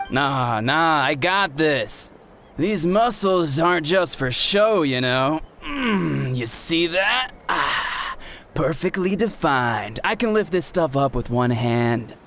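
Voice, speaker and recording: Cocky, higher-voiced young show-off. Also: male voice, expressive, slow pace, a bit bright, slight background noise, exaggerated voice. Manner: showing off, drawn out. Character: cocky, boastful young muscle-proud man. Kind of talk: video game voice acting. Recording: music playing behind the voice.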